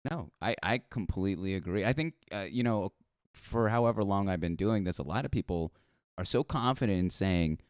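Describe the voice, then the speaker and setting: A man's voice: low voice, brisk, smooth, no room sound. An American man hosting a podcast interview, chatty and easygoing, often restarting his sentences and laughing along. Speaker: podcast host. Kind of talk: casual interview chat.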